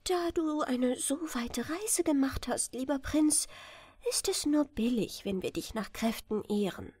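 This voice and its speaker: A gracious young storybook princess, as a woman reader plays her. Her voice is high, light and delicate, and she speaks slowly with courtly politeness and sweet wonder. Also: lively intonation, a little mellow, husky, no echo, studio quality, exaggerated voice. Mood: gracious, polite. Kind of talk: storybook character reading.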